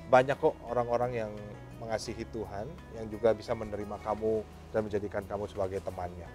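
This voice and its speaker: A man's voice: lowish voice, little pitch movement, quick pace, music in the background. A man, a pastor giving conversational religious advice informally, with some English words mixed in. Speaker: pastor answering questions.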